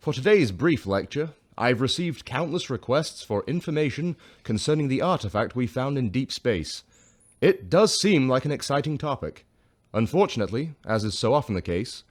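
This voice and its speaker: A pompous science professor from a sci-fi radio drama. His mid-pitched voice rises and falls markedly as he lectures with lofty, formal condescension. The sound is dry and close. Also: no echo.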